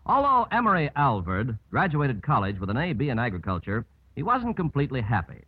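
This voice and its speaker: A man's voice: medium pitch, huge pitch swings, brisk, breathy edge. A man with an American accent reading scripted narration as a 1950s radio host. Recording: muffled old 1950s radio broadcast.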